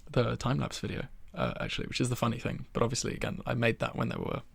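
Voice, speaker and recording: A man of twenty-one chatting in a soft-spoken ASMR style in his bedroom, rambling and self-deprecating with soft laughs, close to the microphone. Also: mid-range voice, level tone, quick pace, creaky, no echo. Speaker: ASMR creator.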